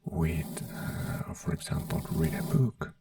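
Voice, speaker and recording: A man in an ASMR doctor roleplay, gently whispering health advice in halting, non-native English. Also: extremely low pitch, lively intonation, far from the mic. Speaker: ASMR creator playing a doctor.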